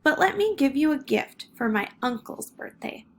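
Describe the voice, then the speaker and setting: Woman as a kindly storytelling creature, storybook, male, calm, measured, raised pitch, fry. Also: full character voice.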